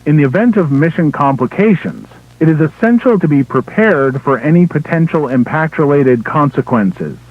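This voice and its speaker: A man playing an official emergency broadcast announcer, reading a scripted alert in a formal, measured, impersonal way through a radio filter. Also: on the high side, expressive, no echo. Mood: grave, urgent warning. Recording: tinny, band-limited emergency radio broadcast.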